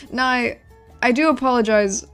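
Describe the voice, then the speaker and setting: A woman's voice: fairly high pitch, a bit bright, clean tone. A woman talking informally on a livestream while she draws, rambling and often repeating words as she thinks aloud and answers chat. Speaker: livestreamer drawing and designing game characters. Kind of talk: casual livestream chat while drawing, reading out chat messages.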